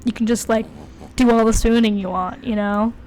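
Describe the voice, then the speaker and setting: High-voiced sarcastic woman, noisy recording. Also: fairly even intonation, moderate pace, a little mellow. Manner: sarcastic, mock-exasperated asides. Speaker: podcast co-host. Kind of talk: casual joking chat.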